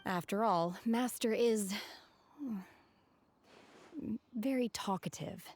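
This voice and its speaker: An actress playing a reserved, solemn young woman in a video game, speaking in a calm, formal, measured way. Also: mid-range voice, soft background music. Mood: guarded. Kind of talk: video game character acting.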